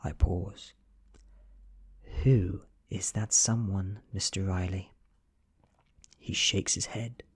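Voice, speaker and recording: A British man narrates a story in soft ASMR style. His voice is deep and husky, often falling to a whisper. He reads at a slow, measured pace. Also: fairly even intonation. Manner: hushed, often dropping to a whisper. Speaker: audiobook reader.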